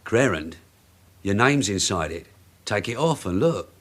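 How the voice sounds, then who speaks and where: Wry British police sergeant, animated delivery. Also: male voice, lowish voice, measured pace. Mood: probing. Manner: sardonic, probing, mock-courteous. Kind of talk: radio drama acting.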